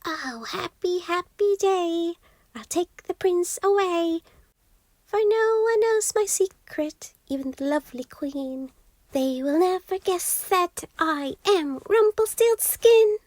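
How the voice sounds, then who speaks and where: Storybook goblin imp, male, played by a woman: little, sly and gleeful, sing-song bargaining that swoops up high, slow and drawn-out, dry close recording. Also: expressive, darkish tone, dry recording, heavily acted.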